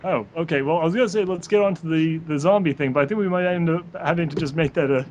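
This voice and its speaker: Halting, higher-voiced man hosting over noise. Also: darkish tone, lo-fi sound. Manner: halting, stammering, repeats words. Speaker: podcast host. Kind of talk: casual group interview chat.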